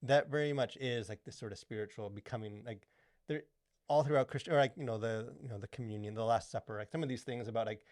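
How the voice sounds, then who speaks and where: A man's voice: medium pitch, fast talker, a little mellow, no room sound, clean studio sound. A man co-hosting a podcast in casual discussion, rambling along with lots of "like" and "you know". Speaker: podcast co-host. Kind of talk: casual discussion of culture and faith.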